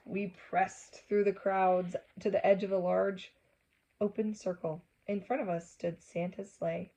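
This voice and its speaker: Husky woman reading a children's storybook. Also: mid-range voice, unhurried, low-quality recording. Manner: animated, acts out exclamations, asks listeners questions. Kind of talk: expressive read-aloud with asides to young listeners.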